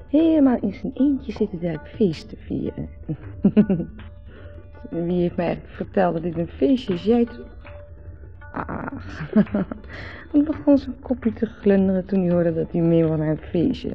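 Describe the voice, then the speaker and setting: An actress playing a tipsy, rambling, flirtatious wife in a Dutch radio drama, slurred and giggly. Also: female voice, fairly low pitch, animated, unhurried, long pauses. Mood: tipsy, teasing. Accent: Netherlands Dutch. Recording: dull vintage radio recording. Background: faint party music.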